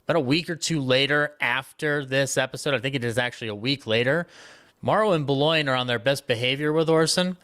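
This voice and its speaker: A man of average pitch talks as a panelist in an informal history discussion. He is emphatic, often repeating phrases as he builds toward his point. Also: a little fast, a bit bright.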